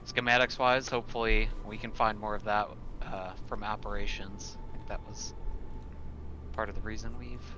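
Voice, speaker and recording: A man's voice: lowish voice, flat delivery, normal speed, noisy background. A man speaking casually as a player in a tabletop roleplaying game.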